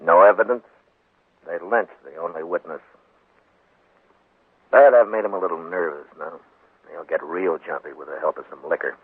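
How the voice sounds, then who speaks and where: An American man playing a dry, shrewd, unyielding frontier marshal in a 1950s radio western, speaking in a laconic, wry, deliberate way. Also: sits low, breathy edge. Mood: calm, scheming. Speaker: lead actor in radio western. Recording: muffled, band-limited 1950s radio with echo.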